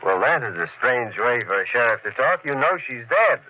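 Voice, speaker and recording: An actor playing a proper, defensive country doctor in a 1940s radio mystery, speaking formally and earnestly. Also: male voice, mid-range voice, big pitch swings, normal speed, natural voice. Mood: reasoning. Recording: muffled, faintly noisy 1940s radio recording.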